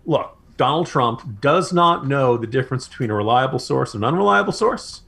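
A man's voice: mid-range voice, expressive, brisk, gravelly, sharp tone, slightly rough. An American man co-hosting a political podcast, giving opinionated commentary in an emphatic, sardonic way with mocking asides.

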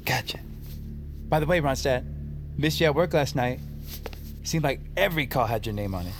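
An actor playing a goofy, loyal young metalworker sidekick in an audio drama, slangy, boyish and eager. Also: male voice, medium pitch, expressive, moderate pace, over music, natural voice. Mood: friendly.

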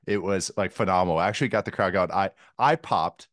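A man's voice: deep voice, brisk, fairly bright tone, dry recording, clean studio sound. A man appearing as a guest football analyst on a podcast, joking and rambling with many fillers. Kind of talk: casual sports podcast chat.

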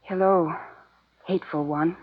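An actress playing an earnest, yearning young daughter who is secretly in love, in a 1950s radio drama. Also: female voice, on the low side, fairly even intonation, unhurried, slightly rough. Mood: tender, longing. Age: young adult. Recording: muffled vintage radio broadcast.

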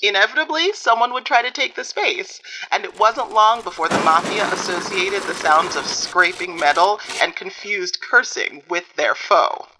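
A woman's voice: mid-range voice, lively intonation, moderate pace, hardly any pauses, sharp tone, slight background noise, poor audio. A woman playing a wry author in a radio documentary interview, telling the story in a dry, matter-of-fact way. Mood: wry, explanatory. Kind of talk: documentary interview in audio fiction.

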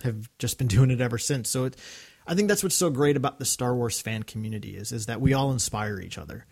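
A man's voice: medium pitch, lively intonation, quick pace, vocal fry, darkish tone. A man co-hosting a fan podcast, reading listener mail and chatting casually, laughing easily. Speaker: podcast co-host.

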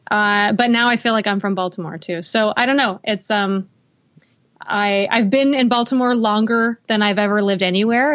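Relaxed American woman, slightly muffled. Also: mid-range voice. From United States. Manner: relaxed, laughs easily, some fillers. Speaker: guest musician. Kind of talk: casual interview chat.

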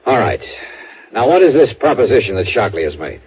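Hard-boiled American investigator for radio drama: lowish, very animated, clipped, wry but sympathetic. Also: male voice, fairly quick, husky, full character voice. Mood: probing. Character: male insurance investigator, wry, sympathetic, sharp questioner. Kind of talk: 1950s radio detective drama acting with first-person narration. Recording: muffled 1950s radio recording, faint music.

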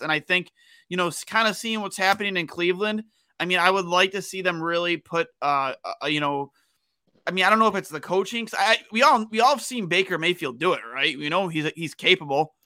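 An American man hosting casual sports talk, halting and repeating himself in an excitable way. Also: high voice, no room sound, studio quality. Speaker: podcast host.

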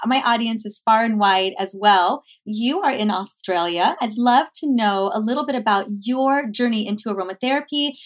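A woman hosting an interview who talks with gushing, affectionate enthusiasm. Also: a little high. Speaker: podcast host. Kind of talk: interview, casual chat.

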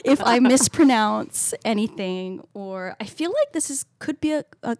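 A woman's voice: a little high, animated, unhurried, darkish tone. Laughing Canadian woman co-hosting a book podcast. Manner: hesitant, self-deprecating, laughs often. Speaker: podcast co-host. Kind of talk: casual book chat.

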